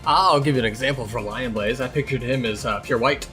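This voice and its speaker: A man's voice: mid-range voice, brisk, sharp tone, soft background music, close to an everyday voice. A man voicing a snarky, exasperated blind medicine cat in an improvised fan Q&A, rambling sarcastically and swearing. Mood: sardonic. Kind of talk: improvised character Q&A in casual chat.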